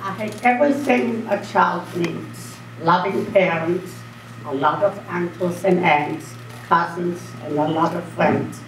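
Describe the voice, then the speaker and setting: A woman's voice: fairly low pitch, unhurried. An older woman from Austria telling her story earnestly to a school audience as guest speaker. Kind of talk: speaking to students. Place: school auditorium. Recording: echoey hall, distant mic, faint hum.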